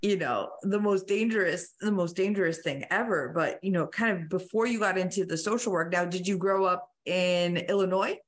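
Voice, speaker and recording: A woman's voice: lowish voice, normal speed, few pauses. Effusive woman, casual podcast host. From moved from Italy to the United States as a young child. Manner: effusive, frequent "like" and "you know". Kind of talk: casual enthusiastic chat.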